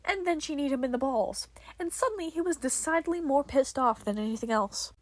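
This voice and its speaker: A woman's voice: sits high, expressive, a little fast. A woman reading fiction aloud as a podfic narrator, in a dramatic storytelling style.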